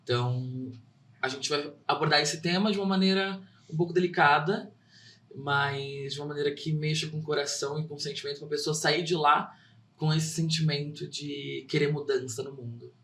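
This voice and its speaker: Earnest young actor, roomy off-mic sound. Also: male voice, on the high side, steady tone, normal speed, fairly bright tone, far from the mic. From São Caetano, Brazil. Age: young adult. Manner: earnest, heartfelt storytelling. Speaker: theater actor and guest. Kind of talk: interview answers.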